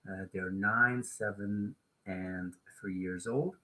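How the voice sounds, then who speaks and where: A man's voice: on the low side, steady tone, slow pace, bright, forceful tone. A man in his late thirties from Switzerland, with a Swiss German accent, chatting casually and a little hesitantly as the host of a live stream. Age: late 30s. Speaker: YouTube host.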